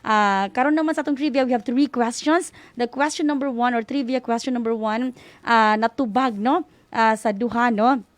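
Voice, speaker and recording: A woman's voice: a little high, a little fast, no room sound. A Filipino woman with a Visayan accent hosting a school-on-the-air session informally, mixing English and Visayan and often adding "no?" as a tag. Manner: switches between English and Visayan, frequent "no?" tags. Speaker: host of a radio school program.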